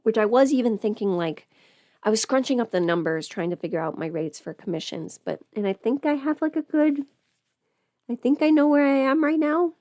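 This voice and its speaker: A woman's voice: a little high, lively intonation, a little fast, darkish tone, dry recording, studio quality. A young adult woman chatting casually to livestream viewers in a rambling, informal way, often interrupting herself. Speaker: livestreamer and audio performer. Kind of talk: casual livestream chat while putting on makeup.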